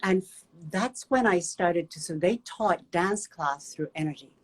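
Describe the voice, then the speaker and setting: Woman, kinda husky and low, slow thoughtful storytelling w lots of ums. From Japan. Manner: gentle, reflective, pauses with many fillers. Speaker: guest movement teacher. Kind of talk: personal storytelling.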